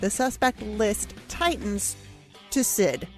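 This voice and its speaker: A woman's voice: medium pitch, lively intonation, takes it slowly, a little mellow, faint music behind. A woman co-hosting a podcast with a sardonic manner, in scripted recap and casual chat. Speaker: podcast co-host.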